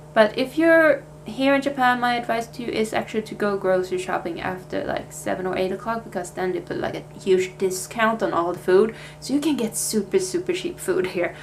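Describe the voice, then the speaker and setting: Swedish girl rambling thru a vlog update, um-ing a lot, bit of hum in the background. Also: medium pitch. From Sweden. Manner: chatty, many fillers and restarts. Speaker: vlogger. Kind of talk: casual life update vlog.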